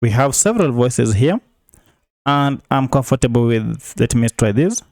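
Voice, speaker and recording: A man's voice: mid-range voice, animated, moderate pace, a little mellow, dry recording. A man narrates a screencast tutorial in a mid-range voice. He explains each step plainly, as an instructor would, on a close, dry recording. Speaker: software tutorial presenter.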